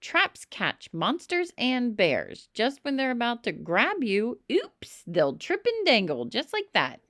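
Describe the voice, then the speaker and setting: A woman's voice: on the high side, wildly swooping pitch, takes it slowly, fairly bright tone, no echo, studio quality, pitched above the performer's own voice, exaggerated voice. A woman voicing a confident, matter-of-fact little girl in a children's storybook, giving emphatic instructions.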